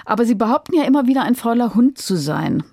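Mellow-voiced woman interviewer. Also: a little high, big pitch swings, quick pace, no echo. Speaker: radio interviewer. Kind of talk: prepared interview questions, conversational.

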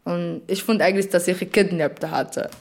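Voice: medium pitch, fairly even intonation, normal speed, creaky. A non-native speaker with a foreign accent, casually retelling a story and giving opinions. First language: not German.

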